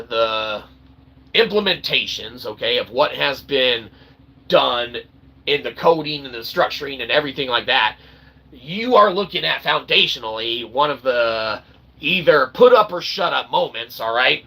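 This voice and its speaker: Gravelly, emphatic man ranting. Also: on the high side, a little hiss or hum, low-quality recording. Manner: emphatic, repeats "okay?" constantly; rants with bursts of shouting and laughter. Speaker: channel host. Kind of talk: rambling livestream monologue.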